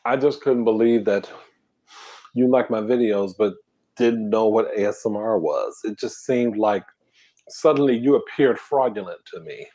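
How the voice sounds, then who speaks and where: Chill guy murmuring soft and quiet on his eating stream, low voice, real laid back. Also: moderate pace. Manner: soft, quiet, half-whispered talk; relaxed and drowsy, reading comments aloud. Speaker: ASMR mukbang channel host. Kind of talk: casual live-stream chat while eating.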